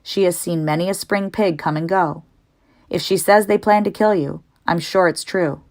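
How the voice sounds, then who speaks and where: Woman as a calm, brisk lady spider, lowish voice. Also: close to an everyday voice. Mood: thoughtful. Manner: composed, brisk, firm.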